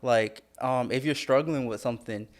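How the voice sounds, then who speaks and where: Young man, podcast guest, soft-spoken, gentle, reflective, casual chat. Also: mid-range voice, normal speed.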